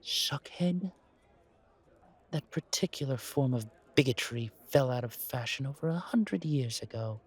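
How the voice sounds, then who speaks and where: A man's voice: mid-range voice, measured pace, husky. A man voicing a refined, haughty old vampire who speaks in a formal, courtly, theatrical way. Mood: offended disdain.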